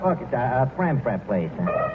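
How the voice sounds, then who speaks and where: An actor playing a pompous, malaprop tavern manager with a New York accent, in a 1940s radio comedy style. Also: male voice, mid-range voice, sing-song, brisk, loud music behind the voice, natural voice. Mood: pompous, self-assured. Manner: mangles grammar and big words with pompous confidence. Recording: noisy, tinny 1940s radio broadcast, roomy.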